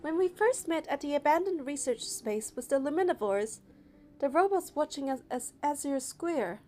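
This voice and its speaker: A woman narrating a game playthrough, reading the story text aloud in a steady, even way. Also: high-pitched, moderate pace, soft background music.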